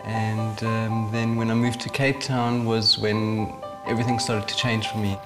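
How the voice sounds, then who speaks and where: A man, speaking in an interview about his work as a therapist, pausing often with fillers. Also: on the low side, level tone. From South Africa. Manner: hesitant, with many fillers. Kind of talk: reflective interview. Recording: music bed underneath.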